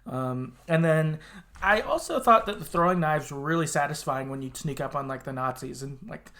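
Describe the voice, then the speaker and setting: A man's voice: on the high side, moderate pace, gravelly, pushed and bright. A man hosting a casual gaming podcast, talking informally and often qualifying what he says. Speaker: podcast host.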